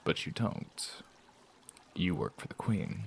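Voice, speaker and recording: A man voicing a sly traveling performer who teases and probes with smooth talk in an audio drama. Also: low voice, very animated, slow pace, slight background noise, full character voice. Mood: teasing, prying. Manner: teasing, probing, smooth-talking. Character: sly prying male traveling performer, teasing.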